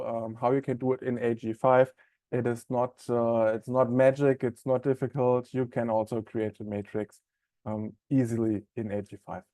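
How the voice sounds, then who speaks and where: A man with a German accent hosts a live software webinar, talking in a friendly, conversational way and filling his pauses with ums and uhs. His voice is dark and mellow at a middle pitch. The sound is close and dry, like a desk mic on a video call. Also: dry recording. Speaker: software implementation specialist presenting a webinar. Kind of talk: live product webinar presentation.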